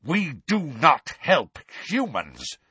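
Guy doing a stern haughty centaur, slow snarl, husky, audiobook. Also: lowish voice, sing-song, a bit bright, dry recording, heavily acted. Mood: proud hostility. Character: stern proud male centaur, hostile to humans.

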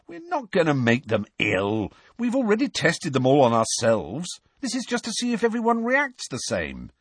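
A cheeky teenage boy prankster played by a male narrator, protesting with breezy cockiness. His voice jumps around in a higher register, slightly rough, like a lively storybook character. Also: normal speed, no echo, studio quality, heavily acted. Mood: indignant.

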